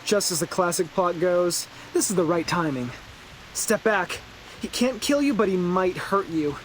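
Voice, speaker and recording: A woman voicing a quirky, analytical young investigator in a video game, theatrical and excitable. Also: on the low side, unhurried, a little hiss or hum, lightly acted, rough recording. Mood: urgent.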